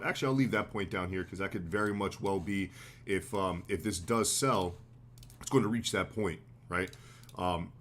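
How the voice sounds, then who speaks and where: An American man with a slightly hoarse voice, hosting a live trading stream in a relaxed, slangy way. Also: lowish voice, a little hiss or hum. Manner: relaxed, loose, slangy explaining with fillers. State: slightly hoarse, getting sick. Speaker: trading livestream host. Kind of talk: casual live market commentary.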